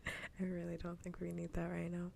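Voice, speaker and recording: A woman streamer chatting casually and with opinions in a soft, breathy whisper, close to an ASMR microphone. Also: fairly low pitch, monotone, normal speed, slight background noise.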